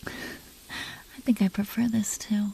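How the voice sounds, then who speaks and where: A woman performs a proud dragon queen who is possessive and secretly tender with her lover. Her voice is dark, soft-edged and husky. She teases in a breathy, haughty, lingering way, made for close, intimate roleplay audio. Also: medium pitch, heavily acted. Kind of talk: intimate roleplay audio drama, often whispered.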